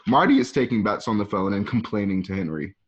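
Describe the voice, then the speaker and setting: A man's voice: fairly low pitch, lively intonation, fast. A man narrating an amateur radio drama, reading out the scene directions as the narrator. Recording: dull lo-fi home recording.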